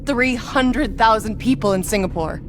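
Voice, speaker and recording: A woman's voice: on the high side, normal speed, noisy background, natural voice. A woman playing a determined intelligence agent in a sci-fi war video game, speaking in a clipped, authoritative way. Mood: grave, businesslike. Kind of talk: cinematic video game voice acting. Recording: music bed under the voice.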